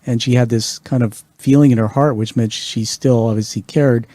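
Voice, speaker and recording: A man's voice: mid-range voice, fairly even intonation, moderate pace, dark tone, no room sound. A man from England hosting an interview, talking enthusiastically and rambling a little as he praises his guest's book. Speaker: podcast host. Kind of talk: conversational interview.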